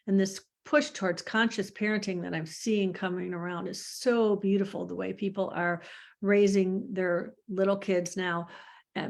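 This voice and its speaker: A woman hosting a podcast on a video call, speaking reflectively and trailing off softly. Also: medium pitch, gravelly. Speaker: host. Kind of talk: casual podcast conversation.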